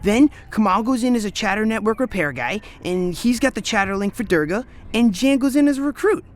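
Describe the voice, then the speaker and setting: Brash, excitable teenage boy, lively pitch. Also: a little fast. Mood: eager, brash. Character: cocky, scheming teenage boy, eager would-be hero. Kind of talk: naturalistic radio drama acting.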